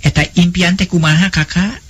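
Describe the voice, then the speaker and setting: Demanding young noblewoman, acted by a male storyteller, Sundanese accent, raised husky pitch, jealous and impatient, folk tale. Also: steady tone, normal speed, a bit bright. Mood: impatient, anxious. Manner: eager, demanding.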